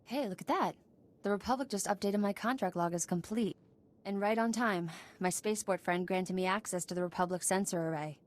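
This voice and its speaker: Woman acting as assured smuggler boss lady in a game, wry, businesslike, mid-pitched. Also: fairly quick, natural voice. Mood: pleased. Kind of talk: video game dialogue.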